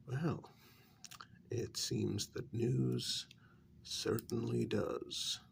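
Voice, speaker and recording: A man playing a calm, courteous, frank human mediator in a soft-spoken ASMR vampire role-play, with a breathy, whispery voice and a hesitant, measured delivery. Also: on the low side, a little hiss or hum, full character voice. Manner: slow, hesitant, polite, measured.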